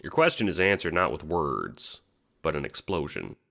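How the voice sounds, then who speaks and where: A man's voice: very deep voice, big pitch swings, normal speed, creaky, very noisy. A man reading scripted second-person narration for an audio drama.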